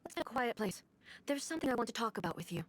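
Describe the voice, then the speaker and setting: An actress voicing a stern, composed female ruler in a video game, speaking formally and with measured command. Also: a little high, very animated, heavy background noise. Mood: calm, reflective. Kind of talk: scripted video game voice acting. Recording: game dialogue over background music.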